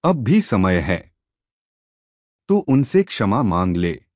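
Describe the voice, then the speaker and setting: A man reads as a haughty elder princess in a storybook tale, flattering and superior. The intonation is very varied. The recording is dry and slightly dull. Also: lowish voice, takes it slowly, dry recording. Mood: mocking, scolding. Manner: mocking, scolding.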